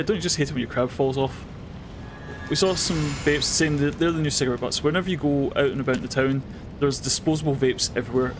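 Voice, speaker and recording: A man's voice: medium pitch, noisy background. A man with a Scottish accent, streaming a game and chatting casually with his viewers, swearing now and then. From Scotland. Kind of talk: casual commentary while gaming. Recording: game music behind voice.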